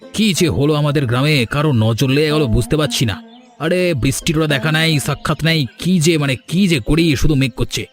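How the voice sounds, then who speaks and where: A man's voice: on the high side, a little fast, faint music behind, lightly acted. A man voicing a grumbling, envious, scheming village husband in a cartoon fairy tale. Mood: weary, complaining. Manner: complaining, conniving.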